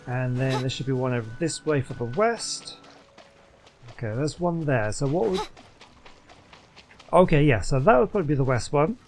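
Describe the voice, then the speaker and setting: A man's voice: mid-range voice, animated, normal speed, pauses often. Man commentating casually over faint game noise. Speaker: gaming video host.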